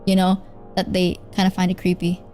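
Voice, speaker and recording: A woman's voice: fairly low pitch, monotone, fairly quick, darkish tone, soft background music. A woman, chatting on stream in a rambling, giggly way, leaning on filler words. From Indonesia. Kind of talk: casual chat.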